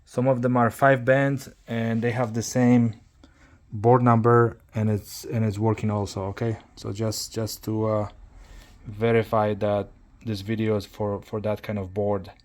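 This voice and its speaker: A man narrating a casual hands-on repair tutorial, speaking haltingly and repeating words as he works. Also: lowish voice, flat delivery. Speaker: hobbyist demonstrating a radio repair.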